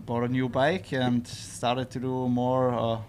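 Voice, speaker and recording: A man's voice: mid-range voice, level tone, takes it slowly, darkish tone, slight background noise. A young man from Austria with an Austrian German accent, a guest answering interview questions hesitantly with many fillers. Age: young adult. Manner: hesitant, many "uh"s, short agreeing replies. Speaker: guest athlete. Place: hotel.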